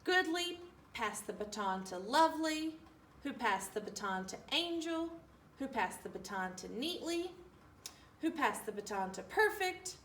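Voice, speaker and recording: Woman, high lively voice, slow singsong children's read-aloud, echoey room recorded from a distance. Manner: animated, deliberate, singsong delivery. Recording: roomy, distant mic, faint room noise.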